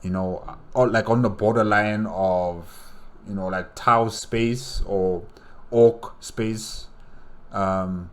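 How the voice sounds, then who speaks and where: A man's voice: on the low side, steady tone, slow. A man hosting a reaction video, giving casual commentary as he thinks aloud, hesitantly musing and asking himself questions.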